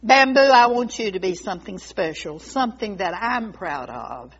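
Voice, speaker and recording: A storybook master of a garden played by a woman, firm and insistent. He speaks deliberately, asking for obedience. The sound is dull and lo-fi. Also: mid-range voice, lively intonation, slightly rough. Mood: promising. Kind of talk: storybook character voice. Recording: dull lo-fi recording.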